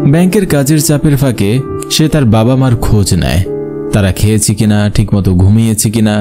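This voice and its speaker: A man narrating a scripted horror story in a dramatic, suspenseful storytelling manner. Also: medium pitch. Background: loud instrumental music.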